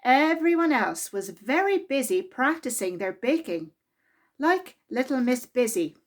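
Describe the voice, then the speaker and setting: A woman reading a children's story aloud in an expressive, deliberate way, drawing out key words for emphasis. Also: high-pitched.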